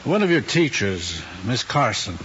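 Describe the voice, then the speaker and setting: An anxious, ambitious middle-aged father in a mid-century screen drama. He speaks earnestly and haltingly. The old soundtrack is dull, with a faint hiss behind him. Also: mid-range voice, sing-song, fairly bright tone. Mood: stern, probing.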